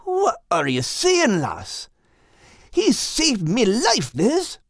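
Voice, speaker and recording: A man voicing a stubborn male dog who speaks in a rough brogue and calls his friend "lass". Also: extremely high pitch, wildly swooping pitch, very slow, a bit bright, no room sound, studio quality, voice pushed up, exaggerated voice. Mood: defensive. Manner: blunt, dialect words like "lass" and "me life".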